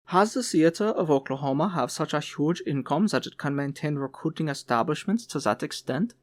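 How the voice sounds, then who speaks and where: A man's voice: fairly high pitch, lively intonation, brisk, no room sound, clean studio sound, natural voice. A man voicing a curious, polite young job seeker in an audiobook, with an earnest, questioning manner. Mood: surprised. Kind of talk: audiobook character voice.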